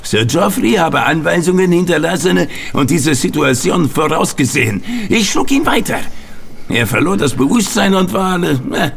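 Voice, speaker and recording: A man's voice: on the high side, expressive, normal speed, vocal fry, fairly bright tone, slight background noise. An actor playing a hot-tempered Italian man confessing a failed mission in an audio drama. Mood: agitated confession. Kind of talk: German radio play acting.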